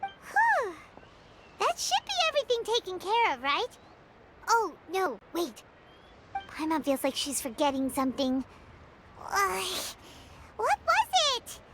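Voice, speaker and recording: A woman voicing a tiny, childlike, excitable and talkative companion in a video game, with a squeaky voice and exclamatory manner. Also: slow pace, pauses often, noisy background, heavily acted. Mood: flustered. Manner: refers to self in third person, exclamatory. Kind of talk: animated video game voice acting.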